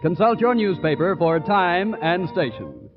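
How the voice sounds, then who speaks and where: A man's voice: high voice, very animated, measured pace, faint music behind. A man announcing scripted sponsor messages and credits in the style of a 1940s radio commercial. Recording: dull lo-fi 1940s radio recording.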